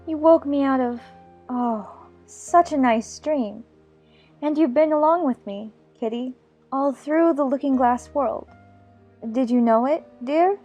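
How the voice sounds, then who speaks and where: A woman's voice: high voice, a little slow, warm tone, soft background music. A woman playing a chatty, imaginative little girl in an audiobook, fond and bossy, prattling on in playful scolding. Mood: mildly scolding. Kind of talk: dramatized audiobook character acting.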